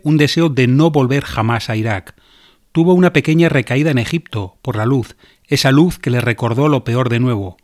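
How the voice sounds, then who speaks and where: A man giving measured, solemn scripted narration for a documentary. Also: mid-range voice, a little mellow.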